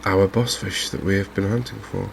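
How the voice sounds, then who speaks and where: A soft-spoken man giving unhurried ASMR commentary on a video game. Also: low voice, steady tone, creaky, noisy background. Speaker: gaming video host.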